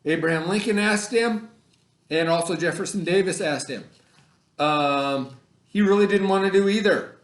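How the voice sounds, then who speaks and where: A man's voice: high voice, moderate pace. A middle-aged man teaching children in a conversational way, often checking understanding with questions and repeating himself. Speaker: elementary school teacher. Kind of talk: read-aloud lesson for children, casual explaining. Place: indoor room, remote video lesson. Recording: echoey room.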